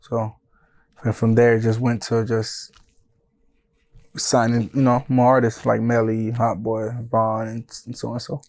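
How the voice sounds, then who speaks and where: A man in his thirties, born in Haiti and raised in Florida, telling his story as a music manager in a relaxed, rambling interview style. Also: mid-range voice, unhurried. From born in Haiti, raised in Broward County, Florida. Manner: laid-back, often says "you know what I'm saying".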